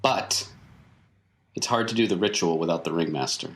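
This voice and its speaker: A man playing a weary, mysterious circus veteran in tabletop roleplay, explaining things patiently and haltingly. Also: low voice, fairly bright tone, a little hiss or hum. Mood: calm, probing. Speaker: game master. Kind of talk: improvised in-character tabletop roleplay.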